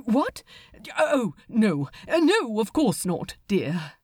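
A woman's voice: on the high side, very animated, slow, darkish tone, slightly rough, no echo, clean studio sound, heavily acted. A woman voicing a prim, anxious male angel in an audiobook story, hesitant and placating in manner. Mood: fussy, placating.

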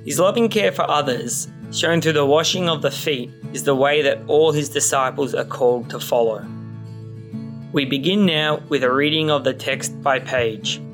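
A man's voice: on the high side, moderate pace. A man narrating a scripted introduction to a religious reading. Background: plucked guitar music.

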